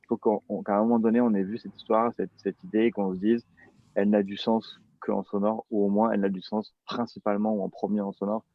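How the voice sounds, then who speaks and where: A man's voice: lowish voice, flat delivery, quick pace, no room sound. A man speaking as a podcast producer in a panel discussion, recorded with a dull sound. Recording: dull, dry lo-fi microphone sound.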